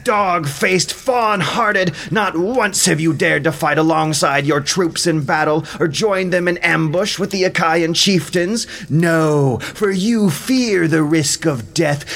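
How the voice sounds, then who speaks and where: Man acting a furious Greek warrior, high and declamatory. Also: creaky, a bit bright, full character voice. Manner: declamatory, drawn-out delivery. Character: furious young male Greek warrior, proud and contemptuous. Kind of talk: audio drama epic acting.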